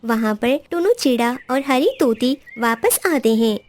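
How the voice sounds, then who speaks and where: A woman's voice: a little high, animated, measured pace, a little mellow, a little hiss or hum. A woman narrating a scripted children's animal story as the narrator.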